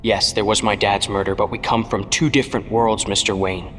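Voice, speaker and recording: An actor playing an earnest, insistent young streetwise protégé to an older hero in an audio drama. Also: male voice, lowish voice, steady tone, brisk, a bit bright, faint music behind, natural voice. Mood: earnest confession. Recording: long cavernous reverb.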